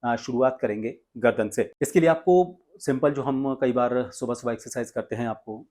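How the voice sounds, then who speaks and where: A man's voice: medium pitch, normal speed, dark tone. A man from India presenting an instructional tutorial as a voice coach, explaining exercises step by step.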